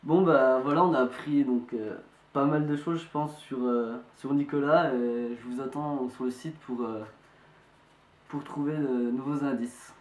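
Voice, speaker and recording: A man playing an amateur investigator, speaking politely and haltingly as he asks questions. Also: medium pitch, fairly even intonation, close to an everyday voice. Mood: earnest, hesitant. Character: young male amateur investigator, polite, insistent, hesitant. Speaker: amateur investigator presenting a video. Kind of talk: hesitant spoken phone inquiry. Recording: echoey room.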